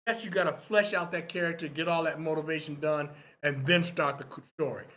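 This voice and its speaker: A man's voice: on the high side, steady tone, a little fast, bright, forceful tone. A man giving opinionated feedback in a workshop discussion, emphatic and instructive, repeating his points to drive them home. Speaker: participant in a screenwriting critique.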